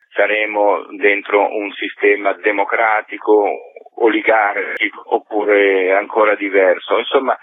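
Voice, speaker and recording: A man's voice: fairly low pitch, no room sound. A man being interviewed by phone as a theatre maker, explaining his work in a reflective, articulate way over a tinny, muffled line. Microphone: telephone.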